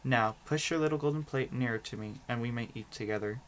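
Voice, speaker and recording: A man's voice: medium pitch, quick pace, a little hiss or hum, natural voice. A man voicing an insistent, demanding frog in a storybook read-aloud. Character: insistent young male frog, demanding and weary.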